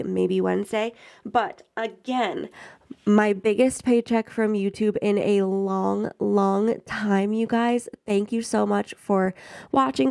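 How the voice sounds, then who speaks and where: A young woman in her mid-twenties talks to camera in a friendly, chatty way, her voice a little congested from a head cold. Her pitch sits in an ordinary middle range, and there is a gravelly fry at the ends of her phrases. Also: darkish tone. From Maine, US. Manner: chatty and effusive, thanks viewers often. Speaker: budgeting YouTuber. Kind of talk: casual chat to camera.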